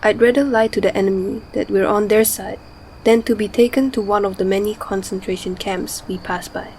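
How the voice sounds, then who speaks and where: A medium-pitched motherly voice acted by a woman in a radio drama. She is serious, pragmatic and protective, with faint night insects in the background. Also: steady tone, a little fast, darkish tone, a little hiss or hum, lightly acted, low-quality recording. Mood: serious, reassuring. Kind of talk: amateur radio drama acting.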